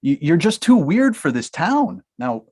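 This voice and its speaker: A man's voice: on the high side, big pitch swings, measured pace, a little mellow, husky, exaggerated voice. A man reading a blunt Hollywood manager. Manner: brusque, dismissive, laughs through lines. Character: blunt money-minded male talent manager. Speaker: actor in a table read. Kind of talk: comedy table read.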